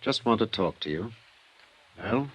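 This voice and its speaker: An American actor playing a sober, principled small-town newspaper editor in a 1950s radio drama, measured and matter-of-fact. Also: male voice, on the low side, expressive, breathy edge, low-quality recording. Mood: sober, guarded.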